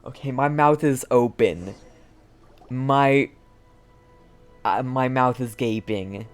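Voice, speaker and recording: A man giving casual, halting reaction commentary as he streams a game. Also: medium pitch, clear, resonant tone, soft background music.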